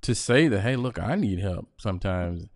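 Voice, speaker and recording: Male podcast host, low voice, dry close sound, halting with restarts. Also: lively intonation, dry recording. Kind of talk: interview conversation.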